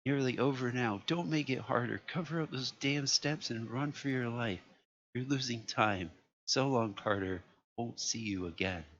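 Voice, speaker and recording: A man voicing a terrified man trapped in a vault, pleading and shouting in panic. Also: medium pitch, normal speed, pitched above the performer's own voice, natural voice. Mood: resigned. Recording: lo-fi with faint noise.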